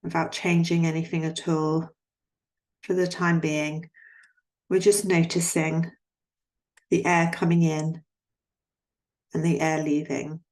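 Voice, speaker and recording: A woman guiding a yoga nidra relaxation in a soothing, gently instructing manner, leaving long pauses between phrases. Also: low voice, little pitch movement. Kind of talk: guided relaxation meditation.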